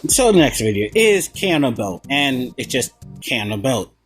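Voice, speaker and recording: A man's voice: mid-range voice, sing-song, moderate pace, fairly bright tone. A man giving informal video review commentary in a sarcastic, casually sweary manner. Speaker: video essayist. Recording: background music under voice. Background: music.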